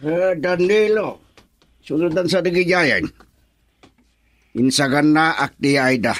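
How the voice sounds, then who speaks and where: A man's voice: a little high, slow, a bit bright. An actor with an Ilocano accent playing a stern, proud middle-aged father in a radio drama. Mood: measured, serious.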